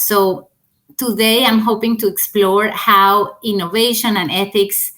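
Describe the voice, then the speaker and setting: A woman's voice: medium pitch, a bit bright. A woman hosting a podcast, introducing her guest with enthusiasm and asking about his journey. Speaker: podcast host. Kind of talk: interview host introduction.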